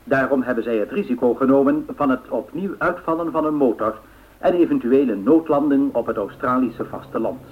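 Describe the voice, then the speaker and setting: A man's voice: mid-range voice, a little fast, lightly acted. Formal male 1930s bulletin reader, acted by a man, mid-range voice, phone-like vintage radio band. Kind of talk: scripted news bulletin in a radio play. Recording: thin band-limited old radio sound.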